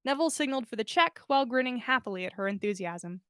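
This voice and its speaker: High-voiced woman reading a story aloud. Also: animated, fast talker, fairly bright tone, dry recording, studio quality. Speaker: podcast host reading listener fan fiction. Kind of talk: expressive story narration.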